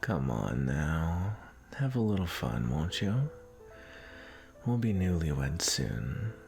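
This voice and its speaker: Man playing a very deep, gravelly suave prince. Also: very animated, slow, a little mellow, soft background music, full character voice. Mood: flirtatious, coaxing. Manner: suave, flirtatious, coaxing. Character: smooth, possessive suitor prince courting his arranged bride. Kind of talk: romantic audio roleplay acting.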